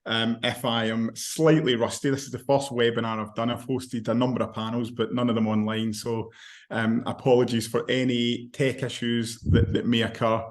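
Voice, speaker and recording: A man with a broad Scottish accent hosting an online panel webinar, apologetic and steady. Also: mid-range voice, normal speed. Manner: apologetic, steady, level delivery. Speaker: webinar host. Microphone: video call.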